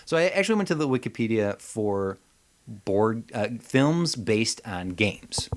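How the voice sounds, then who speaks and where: A man co-hosting a podcast in casual, comedic chat, joking and mildly profane in an animated way. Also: fairly low pitch, measured pace. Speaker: podcast co-host.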